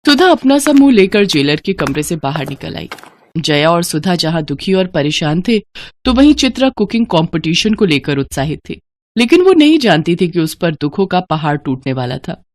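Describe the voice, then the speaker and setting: Woman storyteller with lively, swooping delivery. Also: fairly low pitch, moderate pace. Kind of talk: scripted dramatic Hindi devotional story narration. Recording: music behind the voice. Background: background music.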